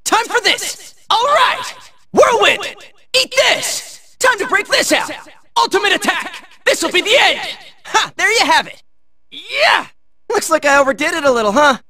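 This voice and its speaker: An American man playing a cocky, excitable teenage boy in a video game, barking out brash, high-strung battle lines. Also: unhurried, long pauses, dry recording, full character voice. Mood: breezy, self-satisfied.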